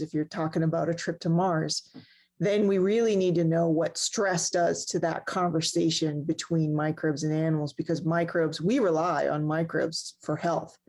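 Low-voiced woman scientist explaining research. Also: darkish tone. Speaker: research scientist being interviewed. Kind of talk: explanatory interview talk.

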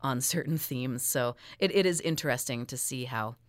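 A woman's voice: a bass voice, normal speed, no echo, clean studio sound. A woman speaking as an expert guest in an interview, explaining things fluently and informally with a wry touch. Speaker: expert guest, folklore academic.

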